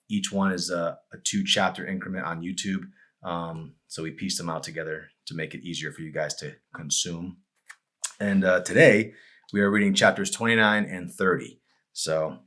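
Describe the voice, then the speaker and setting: A man with a low voice presents and reads his own fiction in an audiobook manner. His phrasing is deliberate and dramatic. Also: a bit bright. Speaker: author reading own novel. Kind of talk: audiobook-style narration with casual introduction.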